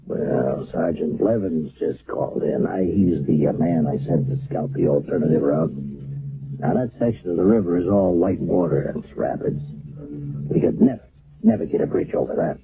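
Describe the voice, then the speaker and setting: Hesitant army captain, gravelly low voice, lo-fi radio sound. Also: male voice, very animated, moderate pace, heavily acted. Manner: hesitant, with many uh fillers. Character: gruff army engineer captain, brisk. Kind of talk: 1970s radio drama acting. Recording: lo-fi old radio broadcast.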